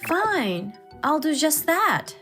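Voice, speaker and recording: A woman's voice: high-pitched, sing-song, slow pace, a bit bright, faint music behind, pitched above the performer's own voice, exaggerated voice. A woman as a firm, defiant young wife. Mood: resolute. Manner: firm, assertive. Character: young pregnant wife, defiant, standing her ground. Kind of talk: dramatized manga story dub.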